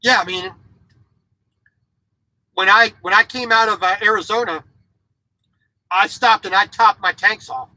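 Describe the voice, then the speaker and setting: A man's voice: high-pitched, measured pace, pauses often, pushed and bright, dry recording, low-quality recording. A man in his forties, a truck driver vlogging from his sleeper cab, speaking bluntly in a casual monologue with frequent restarts. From grew up in Japan as a military child. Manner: blunt, repeats words and restarts, opinionated. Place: truck sleeper cab, small indoor space.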